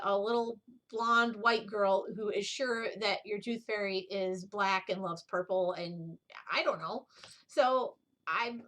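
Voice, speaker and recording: A middle-aged woman hosts a casual craft vlog in a mid-pitched voice. She talks in a rambling, informal way, showing things as she goes and wandering into side remarks. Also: sharp tone. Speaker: needlework video host. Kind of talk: casual chat, show-and-tell vlog.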